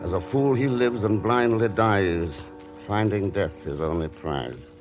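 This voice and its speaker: An actor playing a wry, unflappable secret agent in a 1940s radio drama, dry and authoritative. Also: male voice, fairly low pitch, unhurried, over loud music, lightly acted. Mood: solemn. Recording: muffled, noisy vintage radio recording.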